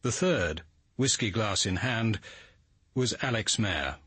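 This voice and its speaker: An English actor playing a measured, sceptical police detective in a radio drama, speaking in a dry, understated, quietly authoritative way. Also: male voice, lowish voice, animated, slightly rough, dry recording, exaggerated voice. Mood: measured narration. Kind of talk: radio drama dialogue and first-person narration.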